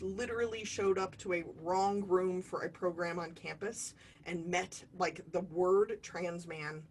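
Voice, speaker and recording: A woman's voice: medium pitch, poor audio. Chatty self-deprecating woman in her forties. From United States. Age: 40s. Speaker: diversity trainer. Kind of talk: online training lecture.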